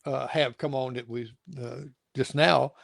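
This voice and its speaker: A man's voice: mid-range voice, steady tone, measured pace, vocal fry, dry recording, studio quality. A middle-aged or older man hosting a casual interview, talking in a rambling, folksy way and stammering over repeated words. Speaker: show host interviewing a guest.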